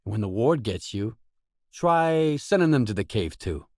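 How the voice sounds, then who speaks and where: An actor playing a guarded, dry, tough stalker mercenary in a video game, with terse, blunt delivery. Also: male voice, lowish voice, sing-song, unhurried, darkish tone, dry recording, full character voice. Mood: wary, probing. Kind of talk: video game voice acting, isolated dialogue lines.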